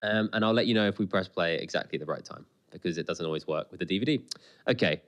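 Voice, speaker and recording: A man chatting casually as a podcast co-host commenting on a TV episode, in an excitable, rambling, jokey way. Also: sits low, fast talker.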